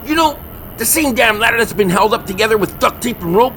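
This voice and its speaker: An actor playing an exasperated, sarcastic monster-hunting sidekick in a comedy horror audio drama, full of indignation. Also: male voice, high voice, lively intonation, brisk, fairly bright tone, slight background noise.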